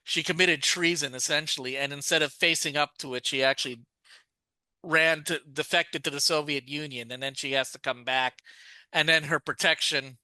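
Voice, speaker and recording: A man's voice: fairly high pitch, steady tone, moderate pace, sharp tone, no echo, rough recording. A man co-hosting a podcast, talking casually and at length, often restarting his sentences. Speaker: podcast co-host. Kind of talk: casual chat.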